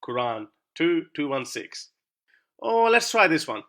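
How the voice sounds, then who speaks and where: Sarcastic man with lively, bright voice. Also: fairly high pitch, unhurried. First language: Urdu. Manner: sarcastic, rhetorical questioning. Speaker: YouTube commentator. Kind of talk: scripted argumentative monologue.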